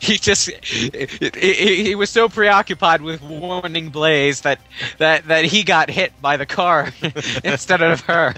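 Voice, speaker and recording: Bright-voiced sarcastic man over game music. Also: fairly high pitch, unhurried. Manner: sarcastic griping, profane. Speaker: gaming commentator playing the game. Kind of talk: casual joking game commentary with friends. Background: video game music.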